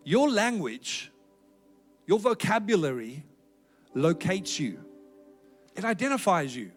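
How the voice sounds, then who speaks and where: A man's voice: high-pitched, very animated, takes it slowly, soft background music. A South African man preaching a sermon with a South African accent, in an earnest, emphatic exhorting manner. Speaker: pastor.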